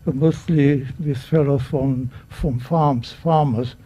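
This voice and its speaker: Nervous, husky man in his nineties. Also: medium pitch, steady tone, dark tone, highs cut off. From Czechoslovakia. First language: German. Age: 90s. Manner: halting, searching for words, many restarts. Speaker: guest speaker at a public event. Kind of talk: personal testimony to an audience. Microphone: microphone.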